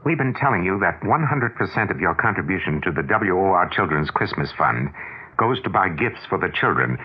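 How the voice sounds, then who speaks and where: Deep, animated American male appeal announcer. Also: brisk, husky. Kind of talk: scripted radio appeal. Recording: muffled 1970s radio broadcast.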